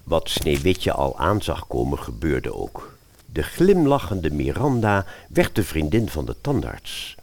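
A man's voice: very deep voice, wildly swooping pitch, measured pace, a little mellow. A man narrating a scripted fairy-tale radio play as its storyteller.

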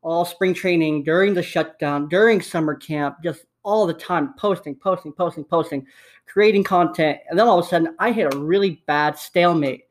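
A man's voice: on the high side, normal speed. Man in his twenties, slight lisp, upbeat rambling monologue, home USB microphone. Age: 20s. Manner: upbeat, rambling, repeats himself. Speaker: podcast host. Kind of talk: casual solo podcast monologue. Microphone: USB desktop microphone.

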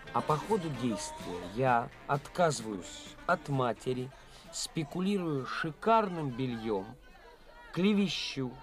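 An actor playing an ambitious, theatrical young stage actor in a 1980s radio play. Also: male voice, on the high side. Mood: wry, confiding. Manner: theatrical, expressive.

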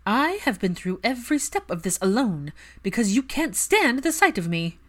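Imperious ailing noblewoman and mother, played by a female narrator, higher-pitched, swooping, strained and insistent, for story narration. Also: very animated, normal speed. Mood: hurt, indignant. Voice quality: strained, emotional. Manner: emphatic, imperious.

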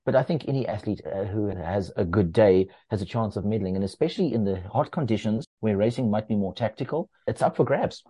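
A man who edits a running magazine, talking as a guest in a relaxed, explanatory way with plenty of fillers. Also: fairly quick, few pauses, breathy edge, no echo. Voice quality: bass voice. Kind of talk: casual interview talk.

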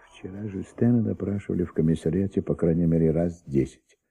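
Radio-play detective: calm, methodical, polite questioner with a husky, creaky low voice. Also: male voice, soft background music, full character voice. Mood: reflective. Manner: measured, probing, polite. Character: calm, methodical male police investigator questioning a young witness. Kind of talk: Soviet radio play acting.

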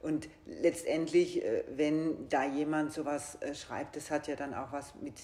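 A woman's voice: low-pitched, a little slow, warm tone. A woman coach with a German regional dialect, talking in a hesitant, thoughtful way with many fillers. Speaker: coach and podcast host. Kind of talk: casual conversation. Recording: echoey room.

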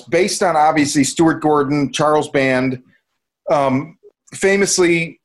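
Male podcast co-host, fairly high register, enthusiastic, sweary, casual review chat.

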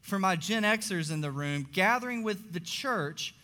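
Slightly hoarse young man preaching. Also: high-pitched, lively intonation, unhurried, sharp tone. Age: young adult. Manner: earnest, many "uh" fillers. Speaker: pastor. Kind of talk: sermon. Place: church auditorium. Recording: long reverb of a large hall.

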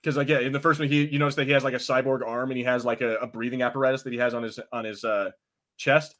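A man chats casually as a podcast co-host, his voice at an ordinary middle pitch. He talks loosely, swears freely and often restarts his sentences. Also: steady tone, racing, fairly bright tone. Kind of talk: casual chat.

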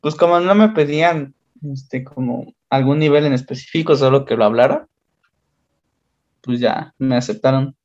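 A young man with a Mexican accent chatting casually with friends, relaxed and slangy, laughing often. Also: medium pitch, normal speed, highs cut off. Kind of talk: casual chat among friends.

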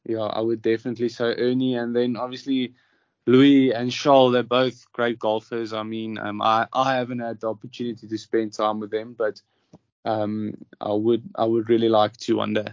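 A young South African man with an Afrikaans background answers questions in a flat, hesitant voice, stopping often to say um and restart. The recording is dry and somewhat dull, with little room sound. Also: mid-range voice, normal speed, dry recording. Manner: hesitant, many ums and restarts. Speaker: professional golfer, interviewee. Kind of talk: casual interview answers.

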